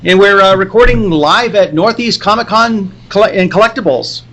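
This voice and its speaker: A man hosting a podcast live at a convention, chatting casually and haltingly, often repeating himself. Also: sits high, a bit bright, a little hiss or hum. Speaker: podcast host. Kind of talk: casual chat at a live recording. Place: comic convention.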